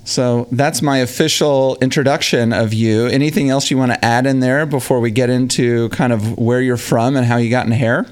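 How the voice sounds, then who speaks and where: A man's voice: mid-range voice, steady tone, normal speed, few pauses, smooth. A man hosting a podcast, chatting with a guest in an upbeat, enthusiastic way. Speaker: podcast host. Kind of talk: casual interview chat.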